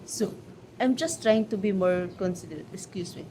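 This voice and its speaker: A woman with a Filipino accent chatting casually to camera as a vlogger, pausing often to search for English words. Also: mid-range voice, warm tone, slight background noise. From Philippines.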